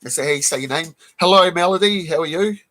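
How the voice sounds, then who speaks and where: Guy with a bit of a high croaky cold voice doing a chill livestream, saying hi to chat, clearing his throat a lot. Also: fast, sharp tone. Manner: greets chat viewers one by one, clears throat often. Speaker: live stream host showing comic books. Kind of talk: casual live stream chat.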